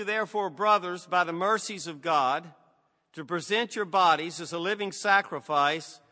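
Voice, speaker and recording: An older man with a Southern American drawl gives a sermon, unhurried and folksy with plenty of fillers. His voice sits a little high and rings bright and forceful. A long reverb from the church hall trails each phrase. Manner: measured, folksy, with frequent fillers. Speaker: guest pastor. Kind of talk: sermon with scripture reading.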